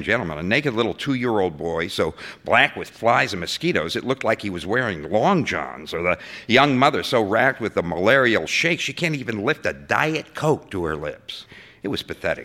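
A man's voice: on the low side, pushed and bright. An actor playing a defensive, exasperated civil servant testifying to senators, folksy and emphatic, stammering as he justifies himself in a dramatic story reading. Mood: exasperated, appalled.

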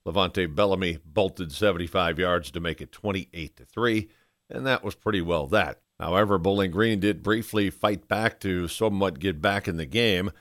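Male sports podcast host, low and forceful voice, dry close sound, recap narration. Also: dry recording. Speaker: sports podcast host and football play-by-play announcer. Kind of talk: scripted recap and live play-by-play commentary.

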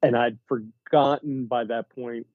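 A man's voice: mid-range voice, steady tone, a little slow, no echo, narrow, tinny audio. A man co-hosting a podcast, musing and wondering aloud in casual chat. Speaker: podcast co-host.

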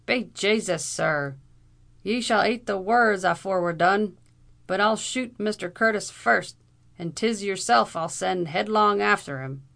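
A woman narrator plays a furious Irish captain from an old adventure tale, spoiling for a duel. He bellows with bombastic indignation, pushing loud and high, with a gravelly creak. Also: unhurried, heavily acted. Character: fierce, blustering Irish army captain, hot for duels.

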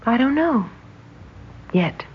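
An actress in a 1960s radio drama playing a gentle, dreamy young woman, speaking softly and hesitantly. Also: on the high side, very animated, takes it slowly. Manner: soft, wistful, hesitant. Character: gentle, dreamy 23-year-old woman awaiting true love. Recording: muffled, hissy old radio recording.

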